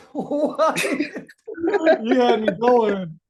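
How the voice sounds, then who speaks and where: A man's voice: very high-pitched, drawn-out words, highs cut off, over a noisy crowd. A man answering interview questions as a musician, talking informally in hesitant, thoughtful phrases. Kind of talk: casual conversational interview answers.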